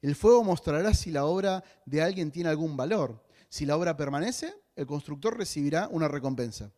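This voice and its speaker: Rioplatense man preaching, slightly high voice. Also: lively intonation, normal speed, dark tone. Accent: Rioplatense Spanish. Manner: conversational preaching with joking asides. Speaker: pastor. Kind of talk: sermon.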